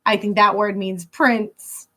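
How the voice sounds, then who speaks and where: A woman teacher reading a picture book aloud to young pupils, pausing to explain words in simple terms. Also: mid-range voice, little pitch movement, a bit bright.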